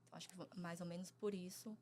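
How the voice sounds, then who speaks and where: A woman in her forties from Brazil, talking as a guest in a casual interview, soft-spoken and hesitant with frequent pauses and fillers. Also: on the low side, steady tone, a little hiss or hum. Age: 40s.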